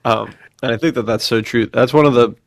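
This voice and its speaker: A man's voice: medium pitch, fairly quick, vocal fry. A man co-hosting a podcast in a casual, slangy, agreeable way, often saying dude. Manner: slangy and agreeable, calls his co-host dude. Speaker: podcast co-host. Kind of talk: casual conversational podcast chat.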